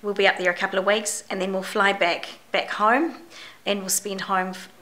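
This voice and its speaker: Kiwi woman, middle-aged, bright voice a bit croaky, chatty video diary with lots of ums. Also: medium pitch, steady tone, moderate pace. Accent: New Zealand. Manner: candid and chatty, many fillers and restarts. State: croaky voice from a chest infection. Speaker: vlogger.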